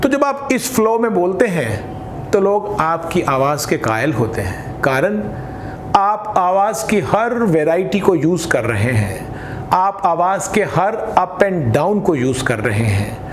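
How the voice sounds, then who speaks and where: An Indian man giving instructional talk as a voice trainer, speaking in an emphatic, deliberate way and mixing Hindi with some English. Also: big pitch swings. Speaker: voice trainer for radio jockeys. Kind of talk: instructional talk with spoken demonstrations. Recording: echoey room with faint rumbling noise.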